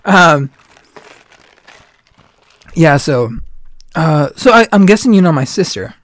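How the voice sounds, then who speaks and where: A man's voice: fairly high pitch, lively intonation, slow, a bit bright, no room sound. Rambling chatty man in his twenties. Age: 20s. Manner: rambling, full of "like" and retold quotes. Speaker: podcast host. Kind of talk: casual chat.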